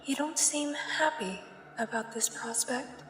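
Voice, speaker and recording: A woman playing a soothing but uncanny government examiner in a sci-fi ASMR roleplay, speaking in a hushed, measured and formal way. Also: high voice. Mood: formal, persuasive. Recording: echoey long reverb, faint background noise. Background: sci-fi ambience.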